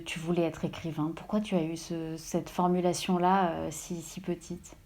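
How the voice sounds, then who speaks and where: Woman interviewer, lowish voice, gentle questions. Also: flat delivery, normal speed.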